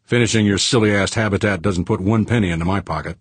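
A man's voice: lowish voice, fast, slightly rough, dry recording, pitched above the performer's own voice. A man voicing an arrogant, short-tempered tycoon in an audiobook, snappish, sneering and defensive. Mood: irritated, defensive.

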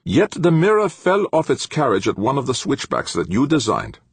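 A man's voice: medium pitch, huge pitch swings, moderate pace, slightly rough, no room sound, voice pushed up, heavily acted. A man voicing an icy, indignant professor in an audiobook, with clipped, formal and imperious delivery.

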